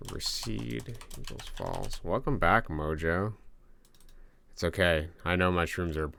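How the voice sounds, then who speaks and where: A man's voice: a little slow, gravelly, fairly bright tone, a little hiss or hum. A man streaming himself programming a game, talking through the code step by step with self-mocking asides to his chat, in a soothing voice. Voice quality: soothing low voice. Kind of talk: live-streamed coding, thinking aloud. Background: keyboard typing and clicks.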